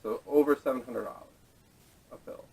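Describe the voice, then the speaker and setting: A man hosting a casual podcast discussion, speaking haltingly with restarts and casual swearing, picked up on a camera microphone. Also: mid-range voice, creaky, darkish tone, slightly rough. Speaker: podcast host introducing topics. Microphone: camera mic, away from speakers. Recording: distant camera microphone audio.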